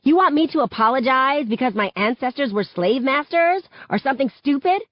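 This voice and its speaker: A woman's voice: mid-range voice, big pitch swings, moderate pace, dry recording, highs cut off, voice pushed up. A woman voicing a defiant, callous teenage mean girl in an audiobook, with an indignant, dismissive delivery.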